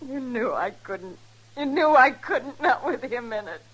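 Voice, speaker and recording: High-pitched, anguished frontier woman. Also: expressive, fairly bright tone, low-quality recording. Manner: drawn-out, pleading. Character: desperate, anguished frontier woman holding a gun. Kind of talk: 1950s western radio melodrama.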